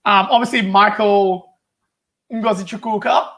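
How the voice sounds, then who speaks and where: A man's voice: high voice, fairly even intonation, measured pace, a bit bright. A young adult man from Nigeria, co-hosting a youth group chat in a playful, hesitant way with many restarts. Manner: hesitant, playful, self-deprecating, frequent restarts. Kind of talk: casual chat, playful game of two truths and a lie.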